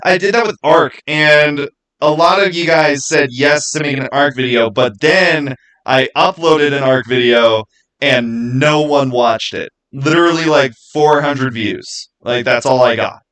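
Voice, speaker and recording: A man with a mid-pitched voice hosts a casual livestream from a small home studio. He talks to his viewers in a loose, rambling way, often doubling back to repeat words for emphasis. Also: unhurried, fairly bright tone, rough recording. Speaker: YouTube creator and livestream host.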